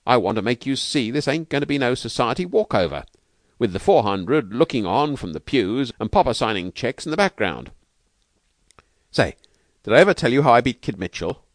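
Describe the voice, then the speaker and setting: A man narrator puts on a tough, good-hearted trainer from a novel. He talks in brash street slang with emphatic swings of pitch, close and dry. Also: sing-song, moderate pace, dry recording. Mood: blunt, warning.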